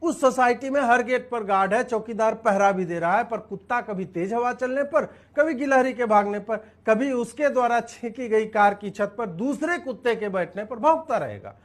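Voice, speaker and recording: Guy with a pretty high voice doing sarcastic commentary, mocking tone. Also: expressive, moderate pace, few pauses. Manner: sarcastic, builds a long comparison.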